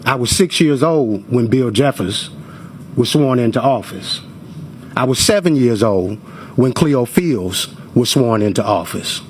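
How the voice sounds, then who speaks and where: A man's voice: mid-range voice, expressive, unhurried, a little hiss or hum. A forty-year-old American man giving impassioned public testimony to a committee in an emphatic, confrontational, oratorical manner. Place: government committee hearing room. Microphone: hearing microphone.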